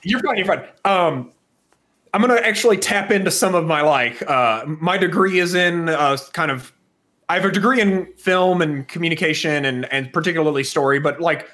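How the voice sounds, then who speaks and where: A man's voice: fairly high pitch, normal speed, bright, forceful tone. A man co-hosting a podcast, explaining his points in a casual way and checking in with "right?" as he goes. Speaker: podcast co-host. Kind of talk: casual chat.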